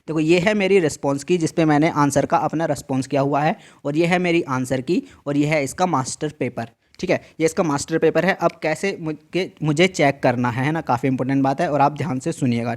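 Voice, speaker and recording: A man's voice: fairly high pitch, a little mellow. A man explaining an exam answer key in a tutorial, repeating each instruction and checking in often. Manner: repetitive, emphatic instructions with frequent "theek hai" check-ins. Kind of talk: screen-share tutorial walkthrough.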